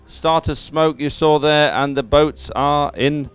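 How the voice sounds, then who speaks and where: A man's voice: a little high, steady tone, slow, fairly bright tone, clear, resonant tone. A man giving live race commentary in an informal, conversational and encouraging way. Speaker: sports commentator.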